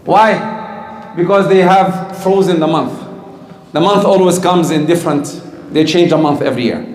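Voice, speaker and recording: A man's voice: on the high side, moderate pace. A man giving a religious talk to a congregation, asking the listeners questions and answering them himself. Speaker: Islamic teacher. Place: community hall or mosque in Canada. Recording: echoey hall with long reverb.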